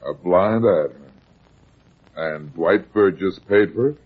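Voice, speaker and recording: An actor playing a brusque, sardonic, wisecracking private detective in a vintage radio drama. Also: male voice, low voice, slow, breathy edge, full character voice. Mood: businesslike. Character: hard-boiled, wisecracking male private detective. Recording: muffled vintage radio recording.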